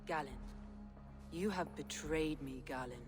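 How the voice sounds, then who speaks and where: An actress playing a fierce, commanding Viking clan leader in a video game, solemn, formal and vengeful, with grief underneath. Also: female voice, deep voice, unhurried. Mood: stern resolve. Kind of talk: dramatic video game acting. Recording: game music behind the voice.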